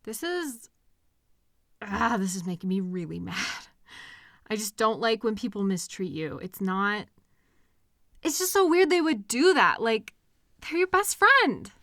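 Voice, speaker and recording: A woman playing a caring, protective partner in an intimate comfort audio roleplay, speaking gently and earnestly in a reassuring, conversational way with hesitant pauses. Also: medium pitch, sing-song, no echo, clean studio sound. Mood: indignant. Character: caring, protective partner consoling a hurting loved one.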